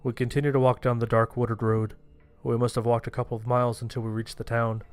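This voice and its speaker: A man acting as an anxious young male survivor tells his horror tale in the first person. His delivery is plain-spoken and very even in pitch, at a middling male register, with music underneath. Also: quick pace, smooth, lightly acted. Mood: narrating. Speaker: narrator. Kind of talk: first-person horror story narration with dialogue. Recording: music behind the voice.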